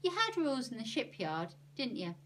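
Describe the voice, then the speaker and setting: A woman's voice: on the high side, huge pitch swings, normal speed, fairly bright tone, a little hiss or hum, pitched above the performer's own voice. A woman voicing a bossy, no-nonsense aunt with a firm, commanding manner. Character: bossy, no-nonsense aunt who lays down rules.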